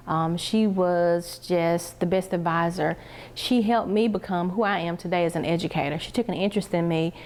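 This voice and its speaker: A woman's voice: fairly low pitch, darkish tone. A woman educator giving an unscripted interview answer. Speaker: educator, college graduate.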